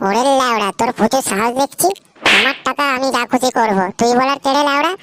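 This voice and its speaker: A woman's voice: high voice, fast, heavy background noise, lightly acted. A woman voicing a cocky, greedy young male schemer in a comic cartoon, brash, bossy and slangy. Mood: angry, quarrelsome.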